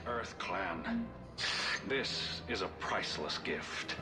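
A man's voice: deep voice, slow, music in the background. A man playing a solemn, grateful alien clan member in a video game.

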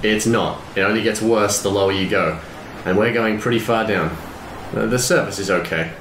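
An actor playing an easygoing smuggler pilot and crew leader in an audio drama, casual and dry. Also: male voice, fairly low pitch, moderate pace, fairly bright tone, close to an everyday voice. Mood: confident, wry. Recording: roomy with faint background noise.

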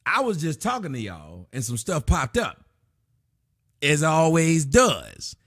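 A man's voice: fairly high pitch, wildly swooping pitch, takes it slowly, fairly bright tone, dry recording, studio quality. A man hosting a casual livestream from a small room at his desk, loosely reading donations and chat aloud with plenty of profanity. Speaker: livestream host.

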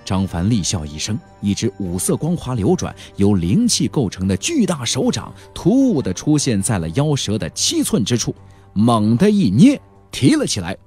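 A man's voice: mid-range voice, huge pitch swings, normal speed, darkish tone, soft background music. A man narrating a fantasy novel as a dramatic audiobook, theatrical and emphatic, drawing out key words.

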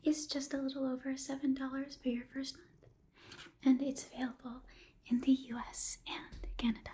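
Young asmr lady doing a sponsor thanks in a breathy mellow whisper. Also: sits high, level tone, moderate pace, slight background noise. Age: young adult. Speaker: ASMR video creator.

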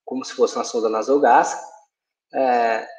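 A man with a Brazilian accent giving an explanatory online lecture as a veterinarian, halting and often checking in with a short tag question. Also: medium pitch, fairly even intonation, darkish tone. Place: indoor room, online livestream. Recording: echoey room sound.

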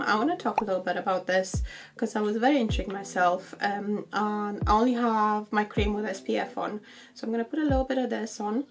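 A woman in her forties with a croaky, slightly husky voice from being unwell, chatting casually to camera in a makeup review, with plenty of ums. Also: mid-range voice. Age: 40s. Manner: chatty with frequent ums, light laughs. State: croaky from illness. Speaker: beauty vlogger. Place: bedroom with shelves, indoors.

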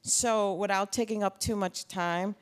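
Smooth-voiced woman introducing a panel. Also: mid-range voice, steady tone, measured pace. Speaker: event host.

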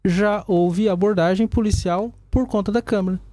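A Brazilian man with a fairly high, soft-edged voice answers interview questions as a police commander. He explains step by step, checking in with short tags, close and dry on a studio microphone. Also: fairly even intonation, normal speed, no room sound. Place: small indoor broadcast studio. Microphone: desk gooseneck microphone.

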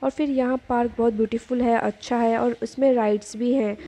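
A woman's voice: fairly high pitch, steady tone, brisk, mellow, soft background music. A young woman, a travel vlogger, chatting casually to camera and mixing English phrases into her talk, recorded on a phone while on the move. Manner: chatty, mixes English into Hindi, frequent fillers. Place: on the move: train, hotel room, outdoor park and lakeside street. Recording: phone recording with traffic and street noise.

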